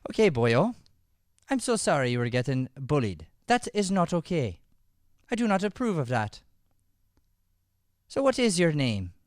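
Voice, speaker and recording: Man playing a pompous Irish schoolteacher, high clear voice. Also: big pitch swings, measured pace, long pauses, no echo, clean studio sound. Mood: firm, forgiving. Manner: scolding, pompous, emphatic. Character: stern, pompous but forgiving male Irish schoolteacher. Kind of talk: improvised old-time radio comedy.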